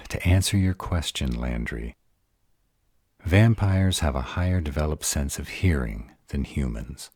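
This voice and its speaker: A man playing an arrogant, protective vampire lover in a roleplay audio drama, husky and deliberate. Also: a little mellow, dry recording, studio quality, exaggerated voice. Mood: haughty, irritated. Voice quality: husky, very deep voice. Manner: haughty, deliberate delivery.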